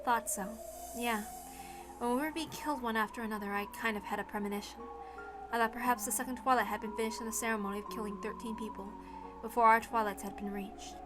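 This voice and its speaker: A woman voicing a resigned, dispassionate woman in a story reading, speaking in a measured, calm way. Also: a little high, music in the background, natural voice.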